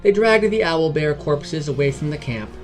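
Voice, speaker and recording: A man's voice: on the high side, animated, moderate pace. A man hosting and narrating a scripted fantasy adventure podcast. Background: background music.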